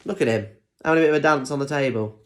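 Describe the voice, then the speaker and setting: A man's voice: medium pitch, very fast, background noise. A man reading a picture book expressively for young children, dropping in informal, playful asides. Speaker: storybook reader.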